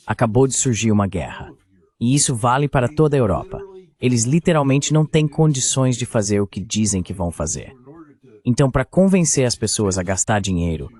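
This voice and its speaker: Brazilian male commentator, fairly low register, emphatic dubbed political analysis. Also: a little mellow. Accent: Brazilian Portuguese. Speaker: guest commentator.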